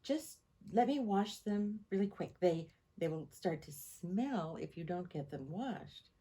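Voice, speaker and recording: A woman voicing a practical, patient mother in a storybook, explaining things with drawn-out emphasis. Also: on the low side, animated, warm tone, husky. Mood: gently coaxing.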